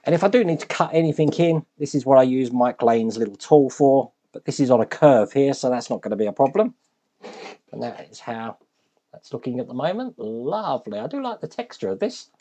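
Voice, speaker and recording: A man hosting a model-building channel, his voice hoarse and deeper than usual from shouting, chatting casually and thinking aloud as he works with his hands. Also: expressive, brisk. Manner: chatty, self-deprecating, thinks aloud while working.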